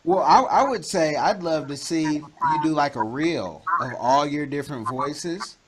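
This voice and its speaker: A man hosting an interview, chatty and advising, with jokes and loud laughs. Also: on the high side, measured pace, poor audio. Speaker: interview host. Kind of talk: casual interview chat.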